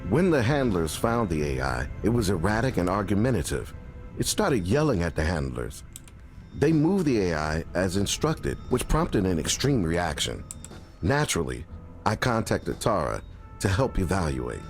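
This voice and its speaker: An actor playing a formal, serious scientist with precise speech in a visual novel. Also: male voice, sits low, sing-song, normal speed, faint music behind. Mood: grave, urgent.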